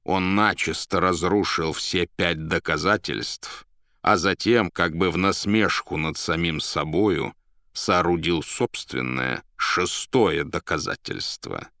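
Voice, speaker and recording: A man voicing a mysterious foreign gentleman, polite and slyly menacing, who draws out and savours his words. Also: low-pitched, takes it slowly, vocal fry, no echo, studio quality, heavily acted. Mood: delighted.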